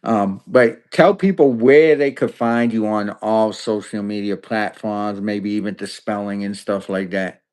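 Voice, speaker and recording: A man's voice: fairly low pitch, steady tone, unhurried, hardly any pauses, a little mellow, clear, resonant tone. A man hosting a podcast, chatting loosely about politics with many restarts and fillers. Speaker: podcast host. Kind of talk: casual political chat.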